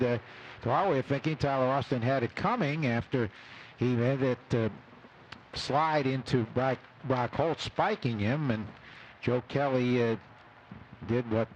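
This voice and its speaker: A man commentating after a baseball game on radio in halting, broken phrases. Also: mid-range voice, dull audio. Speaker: baseball broadcaster. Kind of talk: unscripted postgame commentary. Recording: faintly noisy radio broadcast.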